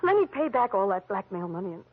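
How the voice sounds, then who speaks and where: Husky, pleading, evasive wife. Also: female voice, medium pitch, sing-song, fast talker. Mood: earnest, wounded. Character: pleading, evasive, melodramatic wife of a rich man. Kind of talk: 1940s radio drama acting. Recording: muffled, phone-like old radio recording.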